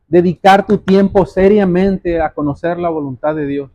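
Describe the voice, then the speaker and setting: A man's voice: high voice, normal speed, no echo, dull audio. A man preaching a sermon, explaining scripture didactically, repeating key phrases and putting direct questions to his listeners. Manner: addresses listeners directly as brothers.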